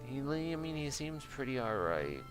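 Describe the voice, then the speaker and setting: A man's voice: mid-range voice, very animated, moderate pace, music in the background. A man from Michigan with an American accent, doing casual, jokey gameplay commentary and chatting with viewers in slangy, self-deprecating talk. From Michigan, United States. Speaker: game streamer.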